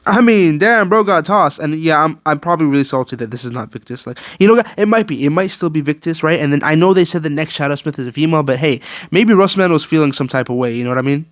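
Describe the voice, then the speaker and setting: A man giving casual, opinionated commentary as a gaming video creator, slangy and animated, often digressing. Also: on the high side, fast, no echo, clean studio sound.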